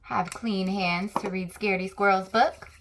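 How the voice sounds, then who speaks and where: A woman hosting a children's channel, reading a picture book aloud with slow, expressive storytelling. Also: medium pitch, noisy background.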